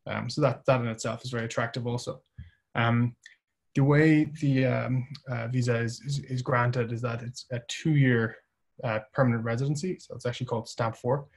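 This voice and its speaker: Man, middle-aged, webinar presenter, flat delivery, lots of ums, video call audio. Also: mid-range voice, vocal fry. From Ireland. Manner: measured, with many fillers and restarts. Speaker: company chief presenting at a webinar.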